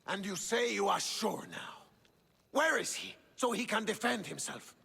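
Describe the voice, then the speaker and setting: An imperious, gravelly Spartan king. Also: high-pitched, expressive, measured pace, bright, forceful tone, exaggerated voice. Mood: outraged. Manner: imperious, declamatory. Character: proud, indignant Spartan king, easily roused. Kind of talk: dramatic video game acting. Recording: reverberant hall, noisy ambience.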